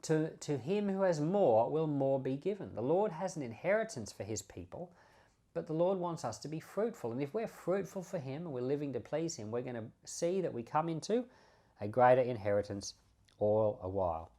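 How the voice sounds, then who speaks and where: A man's voice: on the high side, very animated, normal speed, mellow. Man giving conversational Bible teaching. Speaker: pastor and podcast host. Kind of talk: informal Bible teaching commentary.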